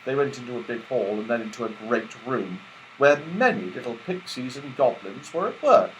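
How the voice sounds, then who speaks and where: A man narrating a children's story aloud in an expressive manner. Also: medium pitch, normal speed, a little hiss or hum, low-quality recording. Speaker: audiobook reader.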